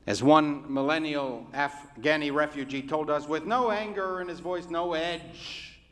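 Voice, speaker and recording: A rabbi giving a sermon in a measured, oratorical way, with deliberate pauses. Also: male voice, fairly high pitch, a bit bright. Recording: reverberant hall.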